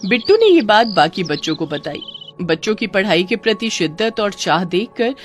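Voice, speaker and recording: Female narrator, children's bedtime story, scripted delivery, medium pitch, faint music behind. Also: very animated, normal speed.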